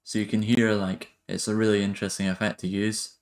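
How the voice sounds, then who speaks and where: A man's voice: fairly low pitch, flat delivery, fairly quick. A man narrating a music production tutorial in a casual, hesitant, improvised way.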